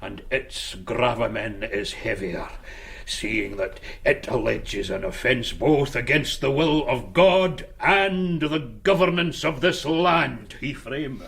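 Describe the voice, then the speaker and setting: A man's voice: mid-range voice, lively intonation, slow pace, vocal fry, fairly bright tone, full character voice. A man playing a stern, pompous senior Scottish churchman in a radio drama, scolding in formal archaic speech. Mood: stern, weighty. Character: stern, blustering senior Scottish churchman presiding over an inquiry.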